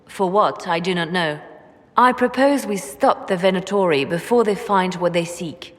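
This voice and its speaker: An actress playing a brooding, guarded spymaster in a fantasy game, speaking in a measured, cool and deliberate way. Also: female voice, lowish voice, close to an everyday voice. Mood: cool, calculating. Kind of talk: video game dramatic acting. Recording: long hall reverb.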